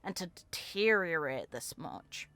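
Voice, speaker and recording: A woman's voice: deep voice, big pitch swings, a little slow, sharp tone. A woman giving casual reaction commentary on a game, musing aloud with short emphatic asides. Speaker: content creator reacting to game voicelines.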